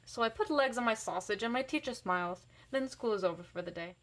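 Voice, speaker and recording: Woman, fairly high voice, expressive picture book reader, slips into casual asides. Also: fairly quick. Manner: animated, varies voice for characters. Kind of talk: expressive children's read-aloud with casual asides.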